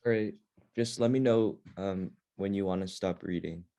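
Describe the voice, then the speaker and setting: A man's voice: deep voice, moderate pace, dark tone. A man speaking casually as he checks in with a child who is reading. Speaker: adult listening to a child read. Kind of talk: casual prompting.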